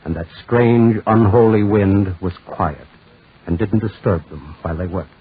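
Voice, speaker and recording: An actor playing an ominous storyteller, narrating a gothic 1940s radio horror drama. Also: male voice, low-pitched, a little slow, husky, exaggerated voice. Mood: hushed, foreboding. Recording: noisy, tinny 1940s radio recording.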